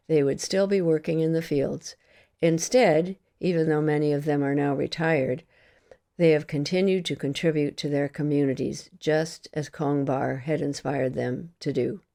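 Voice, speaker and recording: Creaky-voiced woman reading a prepared address. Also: low voice, warm tone. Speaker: service reader. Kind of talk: scripted narration read aloud.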